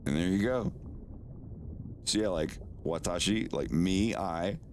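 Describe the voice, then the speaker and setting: A male streamer chats loosely with his viewers in a very low voice. He talks slowly, stammers and repeats words. A faint hiss sits behind him. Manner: relaxed, stammers and repeats words, swears casually. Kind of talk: casual live-stream chat while doing language lessons.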